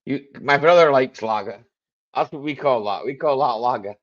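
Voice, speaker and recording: A man with a strong Scottish Highland accent hosting a casual live chat, joking, laughing often and dropping "aye" and "eh" into his talk. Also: normal speed, lo-fi sound. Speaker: livestream host.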